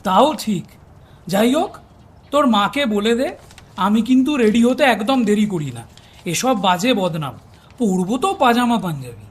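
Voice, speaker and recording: An actor playing an older, bookish retired detective in an audio drama, a husky-voiced husband with a teasing, easygoing way of talking. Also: male voice, sits high, unhurried, heavily acted. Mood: wry. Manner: teasing, expressive.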